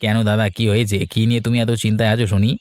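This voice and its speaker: Man playing a deep, quick village farmer. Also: level tone, darkish tone, no echo, close to an everyday voice. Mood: concerned. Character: anxious, deferential younger village farmer man. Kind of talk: cartoon story dialogue. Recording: background music bed.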